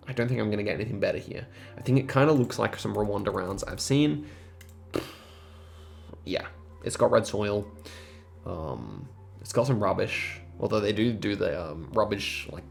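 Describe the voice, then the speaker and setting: A man's voice: lowish voice, creaky. A man giving casual, thinking-aloud gameplay commentary, musing and rambling hesitantly as he goes. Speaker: host of geography quiz gaming videos.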